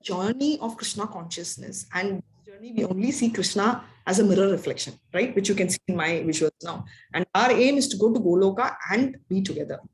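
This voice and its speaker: A woman's voice: mid-range voice, fairly quick, hardly any pauses, low-quality recording. Chatty woman teacher. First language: Telugu. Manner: chatty, rambling, often asks "right?". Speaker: spiritual teacher. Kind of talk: casual talk to an online class.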